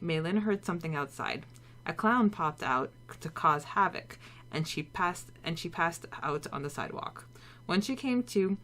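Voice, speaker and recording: A woman's voice: sits low, fairly bright tone. A woman co-hosting a podcast, moving between casual chat and a scripted story recap. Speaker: podcast co-host.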